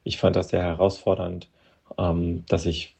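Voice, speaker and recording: A man from Moldova whose first language is Russian, an interview guest talking hesitantly with many pauses and restarts. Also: deep voice, completely flat delivery, creaky, a little mellow, breathy edge. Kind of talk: personal interview account.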